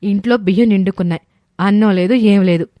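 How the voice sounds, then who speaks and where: A woman's voice: mid-range voice, brisk, mellow, no echo, lightly acted. A woman voicing an irritated, sarcastic village wife who scolds her lazy husband in a storybook tale. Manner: nagging, sarcastic. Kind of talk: storybook character voice.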